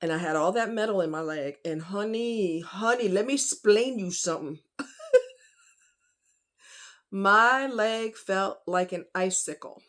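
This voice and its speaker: A woman's voice: mid-range voice, unhurried, fairly bright tone. A woman vlogger talking casually and directly to her viewers in a folksy, conversational, emphatic way.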